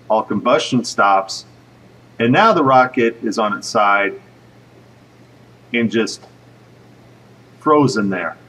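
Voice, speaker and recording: A man giving casual, speculative commentary over footage in a conversational way, with a slightly husky voice. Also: medium pitch, a bit bright, far from the mic, rough recording. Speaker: video narrator.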